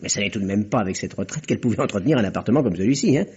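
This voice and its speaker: Fast-talking, husky police inspector. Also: male voice, fairly low pitch, animated, darkish tone, heavily acted. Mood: probing, wry. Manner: brusque, questioning, ironic. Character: brisk, seasoned, wry male police inspector. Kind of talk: French radio mystery play acting. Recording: dull lo-fi radio recording.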